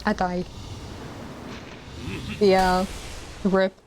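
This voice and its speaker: A young woman in her twenties, soft-spoken and hesitant, chatting on a live stream while gaming, with a mic clipped to her collar. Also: medium pitch, level tone, drawn-out words. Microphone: lapel mic clipped to collar. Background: faint game music.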